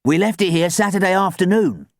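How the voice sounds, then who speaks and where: A man voicing a calm, helpful young boy with a bright, reasonable manner in a children's story. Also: high-pitched, brisk, gravelly, no echo, studio quality, pitched above the performer's own voice.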